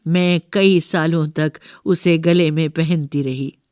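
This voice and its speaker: A woman's voice: low voice, steady tone, darkish tone, dry recording, studio quality. A woman voicing a rambling, doting old grandmother who keeps correcting herself in a storybook reading. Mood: reminiscing, muddled. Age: older. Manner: slow, rambling, self-correcting.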